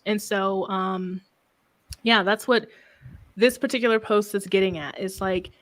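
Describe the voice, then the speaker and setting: Woman, soft voice, calm, reflective, conversational coach. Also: medium pitch, measured pace. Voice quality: soft-spoken. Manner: calm, reflective, frequent "right?" tags. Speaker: business coach for women. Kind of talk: casual conversational interview.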